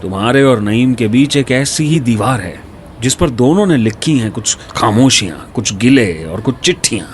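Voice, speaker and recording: An actor playing an aging university dean in a radio drama, talking in an earnest, coaxing way as a concerned old friend. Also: male voice, mid-range voice, animated, normal speed, a little hiss or hum, natural voice. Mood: earnest pleading. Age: older. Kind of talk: Hindi radio drama dialogue.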